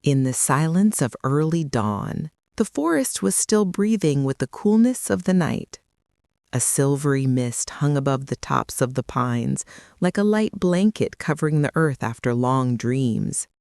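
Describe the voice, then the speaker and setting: Female storyteller for bedtime tales, deep-voiced and gentle, soothing yet melodically varied. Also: very animated, a little slow, no echo, studio quality. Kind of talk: calming children's storybook narration.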